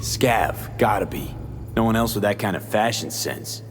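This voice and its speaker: A low male voice, gruff and terse, for a video game mercenary. He investigates with dry sarcasm, over clearly audible music. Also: lively intonation, measured pace. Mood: wry, analytical. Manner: terse, sardonic.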